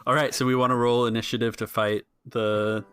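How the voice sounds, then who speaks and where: A man's voice: medium pitch, flat delivery, a little fast, a bit bright, smooth. A man working as game master, casually narrating a tabletop game and talking players through it. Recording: music behind the voice. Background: music.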